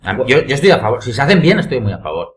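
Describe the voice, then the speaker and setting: A man's voice: medium pitch, big pitch swings, racing, slight background noise. A man with an accent from Spain, taking part in a casual podcast conversation as a panelist. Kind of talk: casual chat.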